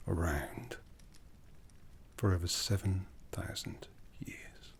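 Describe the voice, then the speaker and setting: A man playing an ancient, jaded, aristocratic vampire in a breathy, half-whispered ASMR roleplay, haughty and seductive with long deliberate pauses. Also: low voice, fairly even intonation, full character voice. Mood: weary, disdainful. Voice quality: breathy, often dropping into whisper.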